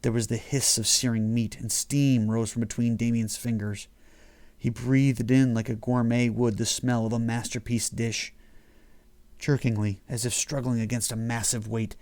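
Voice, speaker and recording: A man's voice: mid-range voice, darkish tone. A man reading his own horror short story as scripted audiobook narration.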